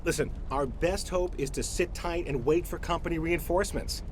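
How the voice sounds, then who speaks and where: An actor playing a cautious, defensive corporate manager in a video game, stammering and self-justifying. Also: male voice, fairly high pitch, moderate pace, slight background noise, lightly acted.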